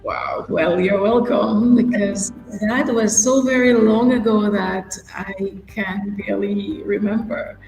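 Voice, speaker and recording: A woman, a cardiologist guest on a live online talk show, speaking in a relaxed, measured way over a video call. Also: mid-range voice, vocal fry, soft background music. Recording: echoey room with a faint hum.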